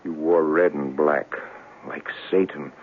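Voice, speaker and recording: An actor playing a fragile, dreamy young artist in a 1970s radio drama, dazed, earnest and childlike. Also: male voice, a bass voice, fairly even intonation, a little slow, husky, heavily acted. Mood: wistful, haunted. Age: young adult. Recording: lo-fi, noisy old radio broadcast.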